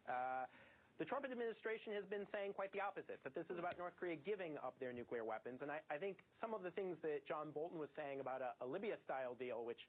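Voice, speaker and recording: A man's voice: fairly high pitch, lively intonation, fast. A man giving expert analysis in a news interview. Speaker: expert commentator. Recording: tinny phone-like audio.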